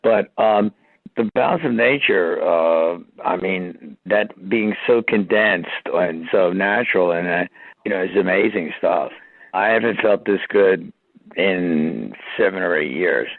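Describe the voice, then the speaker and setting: Older male customer, deep voice, halting unscripted testimonial, narrow dull dry audio. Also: gravelly, no echo. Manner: halting, conversational.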